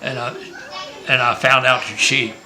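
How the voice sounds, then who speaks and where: This older man from Maryland has a bright, forward voice and reminisces at length. He rambles through his stories with restarts and the odd joke, the way a grandfather talks at a family gathering. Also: medium pitch, flat delivery, measured pace, poor audio. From Maryland, USA. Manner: rambling, joking storyteller with many restarts. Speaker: grandfather being interviewed. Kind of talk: casual family interview, reminiscing. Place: family home at a reunion.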